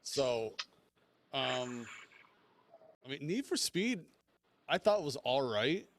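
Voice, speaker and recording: A man's voice: medium pitch, very animated, slow pace, fairly bright tone, a little hiss or hum. A man co-hosting a podcast in casual chat, rambling and hesitant in an easygoing way. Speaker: podcast co-host.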